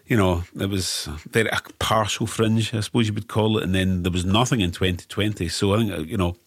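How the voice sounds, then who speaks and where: A Scottish man hosting a podcast interview, asking brisk conversational questions. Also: fairly low pitch, steady tone, no echo. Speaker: podcast host.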